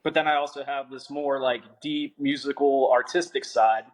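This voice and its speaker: A man's voice: medium pitch, fairly even intonation, moderate pace, poor audio. A young adult man, an author guest on a podcast, talking in a laid-back, joking way and swearing freely. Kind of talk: casual podcast interview.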